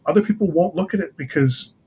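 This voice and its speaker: A man's voice: on the high side, fast, darkish tone, breathy edge. A man chatting casually as a guest writer and producer, talkative and given to explaining things. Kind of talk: casual chat.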